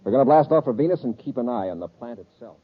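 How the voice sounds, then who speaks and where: An actor playing a decisive space patrol commander in a 1950s radio serial, speaking with clipped authority. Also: male voice, medium pitch, lively intonation, fast, husky. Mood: commanding. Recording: muffled, noisy old-time radio recording.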